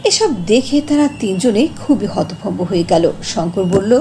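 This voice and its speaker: A woman's voice: medium pitch, animated, normal speed, dark tone, a little hiss or hum. A woman narrating a scripted fairy tale as a storyteller.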